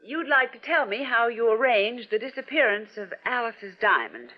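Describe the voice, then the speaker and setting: A woman's voice: fairly high pitch, animated, normal speed. An actress playing a brash, impatient, sardonic young woman reporter in an old radio drama. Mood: bold, accusing. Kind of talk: 1950s radio drama acting. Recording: muffled vintage radio recording.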